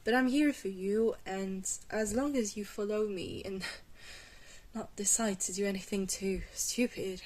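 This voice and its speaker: A woman playing a very old, protective vampire mentor in a soft-spoken ASMR roleplay, gentle and hesitant, with reassuring pauses. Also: medium pitch, fairly even intonation, close to an everyday voice, poor audio. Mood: nurturing, earnest. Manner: slow, hesitant, reassuring, with breathy pauses and small chuckles.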